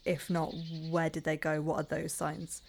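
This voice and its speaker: Lowish-voiced woman playing a tabletop game. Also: monotone, moderate pace, creaky, darkish tone, slight background noise. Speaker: roleplaying podcast player. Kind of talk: casual tabletop game talk.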